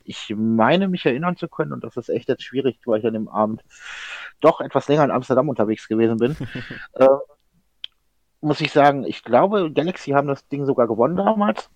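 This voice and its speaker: A man's voice: medium pitch, lively intonation, a little fast, no echo. A man co-hosting a casual podcast, telling stories at length with lots of fillers and restarts. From Germany. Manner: chatty storyteller, many fillers and restarts. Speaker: podcast co-host. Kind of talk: casual chat.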